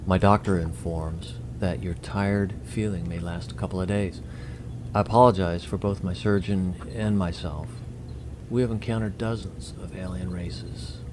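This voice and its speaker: Casting: courteous alien envoy played by a man, low-voiced, formal, grave and apologetic, radio drama, noisy ambience. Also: fairly even intonation, normal speed, close to an everyday voice. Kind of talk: audio drama acting.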